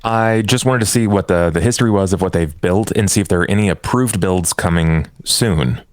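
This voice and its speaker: A man's voice: low voice, brisk, no echo. A man who is a player in a tabletop game, talking casually through his moves.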